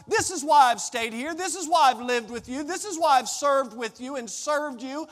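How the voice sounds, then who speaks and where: A man's voice: very high voice, a bit bright. A middle-aged American man preaching a sermon in a conversational, emphatic way, with storytelling, repetition and rhetorical questions. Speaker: pastor preaching.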